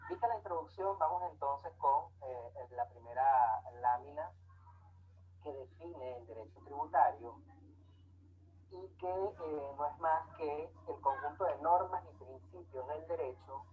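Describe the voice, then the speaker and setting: A man from Venezuela, giving a measured online lecture with frequent hesitations. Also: fairly high pitch. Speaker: lecturer on tax law. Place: home, remote online talk. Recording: tinny phone-like audio, faint noise.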